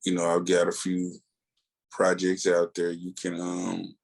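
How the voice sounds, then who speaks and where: Middle aged guy w a low deep voice, laid back podcast chat, slangy and a bit halting. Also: completely flat delivery, vocal fry. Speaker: podcast co-host and reviewer. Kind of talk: casual chat.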